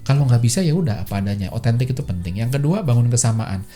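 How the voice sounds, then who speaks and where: A man's voice: medium pitch, lively intonation, quick pace, mellow, soft background music. A man hosting a solo podcast, talking casually and chattily, with many fillers and quoted voices he acts out. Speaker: podcast host. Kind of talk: casual solo explainer talk.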